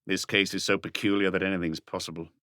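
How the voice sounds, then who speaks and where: A man voicing an authoritative, deliberate senior detective in an audiobook, calmly giving practical instructions. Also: sits low, no echo, clean studio sound. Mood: calm command. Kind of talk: audiobook character dialogue.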